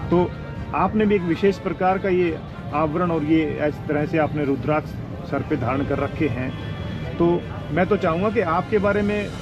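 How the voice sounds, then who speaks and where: A man's voice: on the high side, normal speed, dull audio, music in the background. A man working as a reporter, doing a conversational on-location interview in a deferential, respectful way. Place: outdoors at a crowded festival ground.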